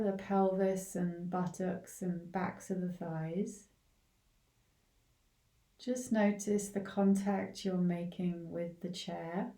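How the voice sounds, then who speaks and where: A woman leading a guided body scan meditation in a gentle, unhurried way, leaving long pauses between short phrases. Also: on the low side. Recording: roomy, echoing, distant from the mic.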